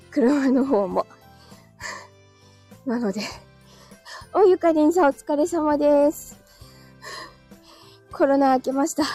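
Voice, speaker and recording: High-voiced, slightly breathless woman livestreamer. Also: warm tone. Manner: chatty, polite, laughs often. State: breathless from walking uphill, recovering from illness. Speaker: livestream host. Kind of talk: casual livestream chat with viewers. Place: outdoors, walking on narrow hilly street.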